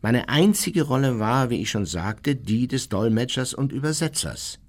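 An actor playing an elderly, unrepentant Nazi war criminal who justifies himself in formal, measured radio drama monologue. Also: male voice, mid-range voice, animated. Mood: self-justifying. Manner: formal, measured, self-righteous.

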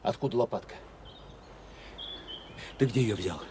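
An actor playing a tired, sharp-eyed Soviet field operative in an audio drama, speaking in blunt, probing questions. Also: male voice, fairly low pitch, expressive, measured pace, slight background noise. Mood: intent, suspicious. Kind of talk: Russian audio drama acting.

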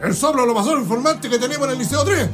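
Chilean army sergeant, male actor, radio play. Very high, rapid, harsh and bullying, faint music behind. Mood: dutiful report. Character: brutal, foul-mouthed army sergeant barking at prisoners. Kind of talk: radio drama acting.

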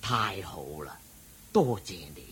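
An actor playing a humble, gentle ascetic monk with modest, deliberate delivery, in Hong Kong Cantonese radio drama. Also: male voice, fairly low pitch, sing-song, husky, heavily acted. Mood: gentle, grateful.

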